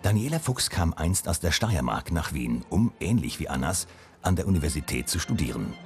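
A man reading a scripted news voice-over in a calm, even-paced delivery. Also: deep voice, normal speed, faint music behind. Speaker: reporter narrating.

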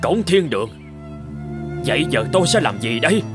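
A man's voice: mid-range voice, sing-song, normal speed, music in the background, natural voice. A man voicing a pious, self-righteous old hermit in a fairy-tale cartoon, speaking in a wondering, humbly formal way. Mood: bewildered.

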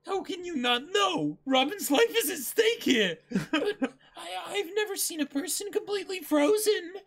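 A man's voice: very high voice, very animated, moderate pace, fairly bright tone, voice pushed up, exaggerated voice. A man voicing an anxious young ship's doctor, frantic and tearful, in a dramatic manga reading. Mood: panicked, tearful.

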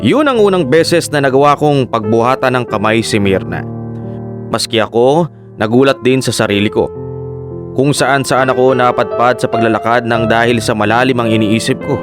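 A man's voice: mid-range voice, moderate pace, natural voice. A man playing a remorseful fisherman narrating. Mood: reflective. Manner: quiet, remorseful. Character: remorseful fisherman narrating his own story. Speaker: radio drama narrator. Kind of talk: reflective first-person drama narration. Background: guitar music.

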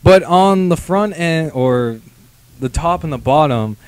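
A man's voice: a little high, expressive, measured pace, smooth, dry recording. A young man co-hosting a casual comic chat, thoughtful and a bit hesitant, speaking into a handheld mic in a small indoor studio. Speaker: co-host.

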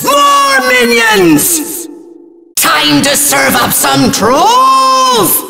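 A man voicing a pompous, tantrum-prone little evil overlord in a video game, shrill and gravelly, ranting theatrically. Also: reverberant, big-room sound, exaggerated voice. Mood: commanding. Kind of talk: cartoonish video game villain acting.

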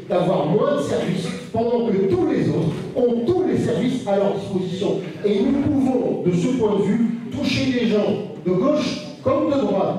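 A man's voice: high-pitched, warm tone. An older man giving an emphatic, oratorical political speech point by point in a lecture room. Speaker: party speaker. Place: lecture room, handheld microphone, far camera. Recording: very echoey room, distant.

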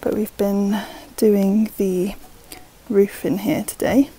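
Slow, mellow-voiced woman vlogging. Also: medium pitch, slight background noise, low-quality recording. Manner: relaxed, chatty, many fillers and soft laughs. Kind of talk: casual walkthrough narration. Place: outdoors on rural land and in a stone barn.

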